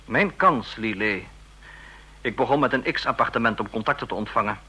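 Scheming deputy officer, radio play, ambitious, sharp husky voice, brisk broken phrases. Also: male voice, medium pitch. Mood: brisk, self-satisfied. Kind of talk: radio drama dialogue.

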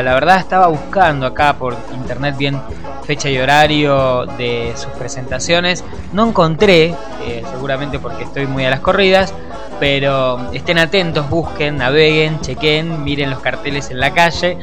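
A man with an Argentine accent casually presenting music as a radio host, with music playing behind him. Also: on the high side.

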